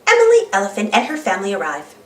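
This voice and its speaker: A woman narrating a children's read-aloud story expressively, speaking clearly for young listeners. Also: mid-range voice, huge pitch swings, rapid-fire. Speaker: storybook narrator and channel host. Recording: roomy, slightly distant, music underneath. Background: music.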